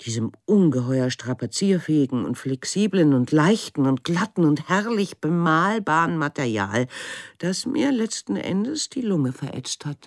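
An actress playing a middle-aged woman artist looking back on her life in a measured, wry radio drama monologue. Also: low voice, very animated, dry recording, full character voice. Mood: reflective, wry. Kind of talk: literary first-person radio drama monologue.

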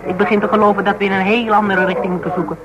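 An actress playing a sharp, scheming insurance investigator in a radio drama, teasing and talkative. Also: female voice, medium pitch, flat delivery, very fast, a crowd in the background, lightly acted, rough recording. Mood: animated, reasoning.

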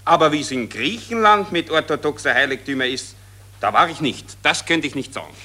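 Sharp-voiced Austrian officer crony. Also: male voice, fairly high pitch, expressive, brisk. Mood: blasé. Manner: colloquial, agreeing, blasé. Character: fawning, jovial Austrian army lieutenant, agreeable crony. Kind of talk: 1940s German radio drama acting.

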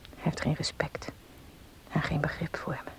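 A woman's voice: sits low, flat delivery, moderate pace, vocal fry, in a whisper. An actress playing an earnest, devout and resolute young woman in a radio drama, with polite, formal diction. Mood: solemn, determined. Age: young adult. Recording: noisy old radio play recording.

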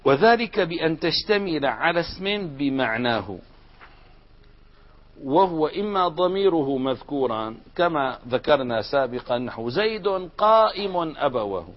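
A man teaching an Arabic grammar lesson, explaining step by step and putting questions to the class. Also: fairly high pitch. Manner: explains step by step, asks students questions and answers them. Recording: dull lo-fi recording.

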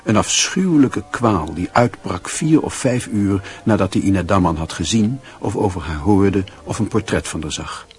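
A man's voice: sits low, expressive, normal speed, hardly any pauses, faint music behind. A man giving wry, scripted literary narration in a Dutch radio play. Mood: wry, reflective.

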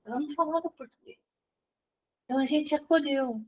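A woman's voice: high voice, a little fast. A woman who is a university professor, recounting a story to a group with many restarts. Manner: recounting with restarts and false starts. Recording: dull lo-fi recording.

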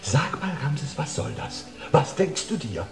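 High-voiced, swooping mad scientist. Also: male voice, big pitch swings, a little slow, creaky, exaggerated voice. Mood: irritated, ranting. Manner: theatrical, haranguing, scolding. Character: mad scientist doctor, vain, fanatical, imperious cloner. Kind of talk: German radio play acting. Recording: roomy echo, faint music behind.

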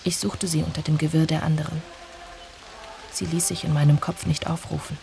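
An actor playing a somber villager who narrates a radio drama in a measured, brooding way. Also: mid-range voice, flat delivery, gravelly, warm tone, a little hiss or hum, lightly acted. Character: somber village narrator recalling an old tragedy. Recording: music bed under the voice. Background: music.

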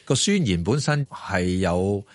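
A man being interviewed explains a subject, pausing on fillers as he goes. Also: deep voice, animated, dry recording. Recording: dry close recording.

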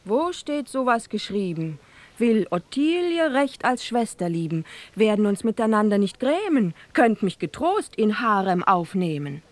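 An actress playing a young, forthright, lovestruck sultan's daughter in a rhymed fairy-tale audio drama, with a lilting, theatrical delivery. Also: female voice, medium pitch, big pitch swings, measured pace, no echo. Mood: bold, coaxing.

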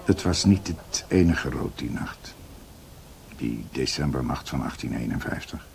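An actor in a radio drama playing a grave older doctor who tells a dark family history in a halting, somber way. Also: male voice, rumbling low, lively intonation, creaky, a crowd in the background, exaggerated voice.

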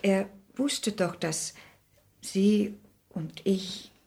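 A woman's voice: medium pitch, very slow, vocal fry, darkish tone. An actress playing an earnest, upright young Puritan woman in a 1960s German radio play, speaking with care. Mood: earnest, scornful. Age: young adult.

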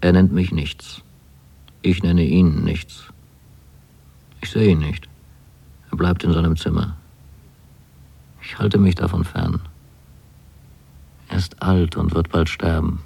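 A man acting a wayward young son writing to his mother in a radio drama, with a husky, gravelly voice and measured delivery. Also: low-pitched, little pitch movement, warm tone, heavily acted. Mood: wry, musing.

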